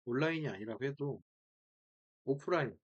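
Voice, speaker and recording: A man's voice: medium pitch, lively intonation, normal speed, breathy edge. A man presenting an informal screen-share tutorial, thinking aloud in short fragments and repeating key words, recorded with dull sound. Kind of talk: informal screen-share demo, talking through steps.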